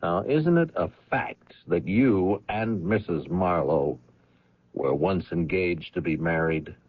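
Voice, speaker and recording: Deep-voiced, dogged police lieutenant. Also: male voice, animated, husky, no room sound, exaggerated voice. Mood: probing, skeptical. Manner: pointed questioning with deliberate pauses. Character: probing police lieutenant, dogged and skeptical interrogator. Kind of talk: radio drama acting. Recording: dull old radio recording.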